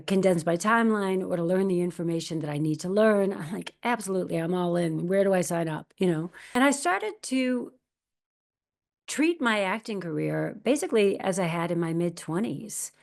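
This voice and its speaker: Gravelly-voiced woman in her fifties. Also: fairly low pitch. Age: 50s. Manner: candid, reflective, quotes her own past thoughts. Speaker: actress and guest. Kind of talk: conversational storytelling interview.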